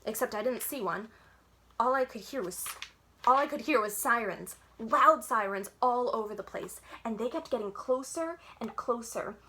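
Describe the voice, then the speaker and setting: A woman's voice: fairly high pitch, animated, moderate pace, sharp tone, a little hiss or hum. A woman reading a children's book aloud expressively, as a library storyteller, with dramatic, childlike first-person narration.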